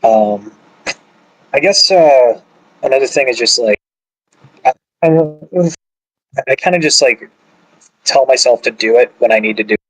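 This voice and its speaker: A man's voice: mid-range voice, expressive, unhurried, long pauses, husky, rough recording. A man speaking as a podcast guest, hesitant and self-mocking, with frequent ums and restarts. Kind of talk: casual group chat.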